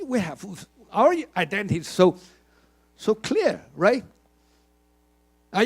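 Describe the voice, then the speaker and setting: A man's voice: high-pitched, fairly bright tone. An older man giving a conference keynote, emphatic, asking the audience questions and often repeating words. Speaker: keynote speaker. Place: conference hall.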